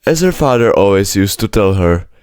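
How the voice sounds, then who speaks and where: A man's voice: on the low side, very animated, moderate pace, darkish tone, smooth, no room sound, studio quality. A man narrating a scripted children's story as an audiobook-style reader.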